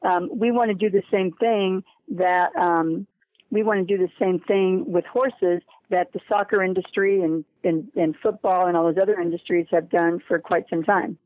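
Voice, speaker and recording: A woman's voice: fairly low pitch, steady tone, moderate pace, no room sound. American woman on a narrow phone line. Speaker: guest, head of a horse-riding charity. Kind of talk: podcast interview, explaining and promoting a program.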